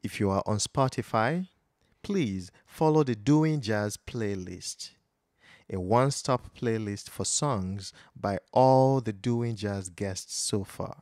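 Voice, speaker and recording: A man's voice: lowish voice, takes it slowly, darkish tone, no echo, clean studio sound. A man hosting a podcast, chatting casually with a guest, chuckling often and giving supportive backchannels. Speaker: podcast host. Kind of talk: casual interview chat.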